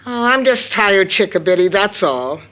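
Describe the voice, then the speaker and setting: An actress plays a middle-aged mother in a period radio play. Her medium-pitched voice swings widely in intonation as she scolds in proper, precise phrases, stern and weary yet fond. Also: lively intonation, moderate pace, fairly bright tone, lightly acted. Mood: weary, businesslike. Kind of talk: stage-style period audio drama acting.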